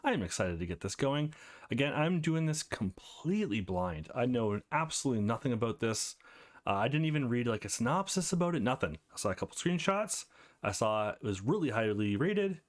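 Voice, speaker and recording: A man's voice: medium pitch, sing-song, fairly quick. A man hosting a let's play, chatting casually and upbeat and reading on-screen text aloud. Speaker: let's player host.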